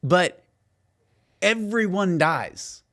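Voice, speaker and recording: A man's voice: high-pitched, expressive, very slow, bright, forceful tone. A middle-aged man co-hosting a podcast, telling stories and teasing in casual, emphatic, filler-heavy banter. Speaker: podcast co-host.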